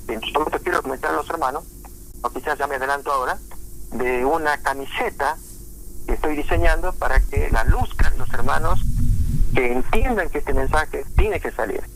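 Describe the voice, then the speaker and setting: A man preaching informally in a halting way, with frequent restarts and fillers. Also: on the high side, a bit bright, a little hiss or hum, low-quality recording. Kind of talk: informal sermon talk on prophecy.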